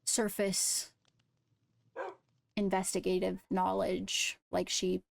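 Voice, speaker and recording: A woman speaking in a measured, deliberate way with formal phrasing during an improvised roleplay discussion. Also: mid-range voice, completely flat delivery, gravelly, no echo. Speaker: roleplay streamer playing a kingdom member.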